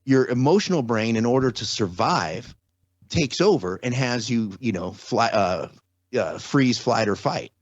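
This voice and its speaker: A man's voice: mid-range voice, lively intonation, measured pace, dry recording, dull audio. A man speaking as a podcast guest, explaining things step by step in a casual, conversational way with everyday examples. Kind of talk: casual explanatory interview talk.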